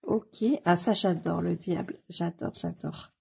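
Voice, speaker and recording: A woman with a cold talks slowly and casually, her voice very husky with a gravelly edge and a dark, mellow tone. She gushes over what she sees, repeats her delight, and often hesitates on fillers. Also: lowish voice, fairly even intonation. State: has a cold. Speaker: coloring book YouTuber. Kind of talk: casual commentary while leafing through a book.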